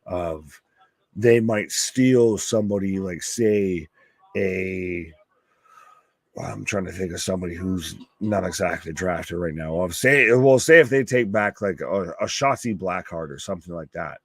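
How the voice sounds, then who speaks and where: Low gravelly guy rambling thru live wrestling commentary, dry close sound. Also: unhurried, no echo. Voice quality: rambling, stop-start delivery. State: gravelly, worn voice. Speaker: host of a wrestling live stream.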